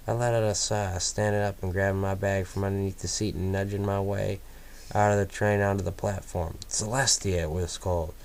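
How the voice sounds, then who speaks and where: A man reading a first-person story aloud in a steady storytelling manner. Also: low-pitched, a little hiss or hum. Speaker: fanfiction reader.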